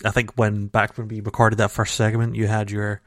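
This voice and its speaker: A man with a fairly low voice co-hosts a casual gaming podcast, wandering through his thoughts with plenty of restarts and fillers. His audio is dry and raw, taken straight from a home computer mic with no room sound. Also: completely flat delivery, brisk, no echo. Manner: rambling, many restarts and fillers. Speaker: podcast co-host. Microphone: home computer mic over a call.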